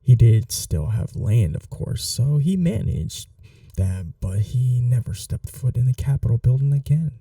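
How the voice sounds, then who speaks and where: A man playing a courteous, caring gentleman vampire in an intimate ASMR roleplay, speaking softly in an unhurried, polite, slightly old-fashioned way. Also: mid-range voice, animated, creaky, no echo, distant mic. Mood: wry storytelling.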